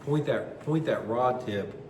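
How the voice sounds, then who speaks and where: A man hosting a bass fishing channel, explaining lure technique in a relaxed, conversational way with lots of fillers, sounding stuffy from allergies. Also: a little slow, slight background noise. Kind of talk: casual tackle demonstration.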